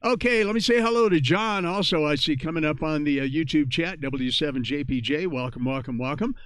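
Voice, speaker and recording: A man's voice: on the high side, a little fast, a bit bright, clean tone, no room sound. A man hosting an amateur radio net, reading out announcements and procedural reminders in an informal, conversational way.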